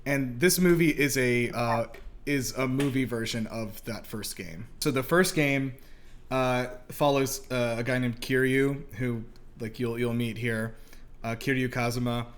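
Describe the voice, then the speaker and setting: A man's voice: mid-range voice, a little slow. Casual man chatting as host. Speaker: host of a movie reaction show. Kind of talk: casual, conversational explainer and reaction chat.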